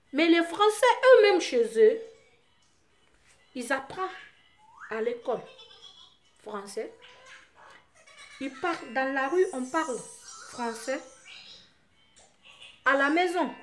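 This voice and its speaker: A woman from Guinea with a Guinean French accent, Susu her mother tongue, vlogging to her fans. Her voice sits high and keeps surging into loud, emphatic bursts. Faint music plays quietly behind her. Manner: emphatic, rising often to loud forceful outbursts. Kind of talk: impassioned monologue to camera.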